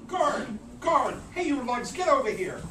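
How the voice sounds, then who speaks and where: Storybook comedy: woman as vain, wisecracking mermaid diva, very lively pitch, echoey live room. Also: a little slow. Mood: demanding. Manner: imperious, wisecracking, theatrical. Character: vain, brassy mermaid diva, a sassy cabaret singer. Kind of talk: live dramatic story reading. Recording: noisy, echoey room from far off.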